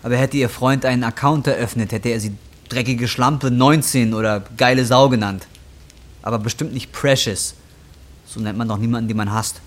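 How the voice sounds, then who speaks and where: A man's voice: mid-range voice, steady tone, moderate pace, a little hiss or hum, lightly acted. A man acting a volatile, bitter, down-and-out young man in an audio drama, coarse and combative in his talk. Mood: sullen, troubled. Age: young adult. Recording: music bed behind dialogue. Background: music.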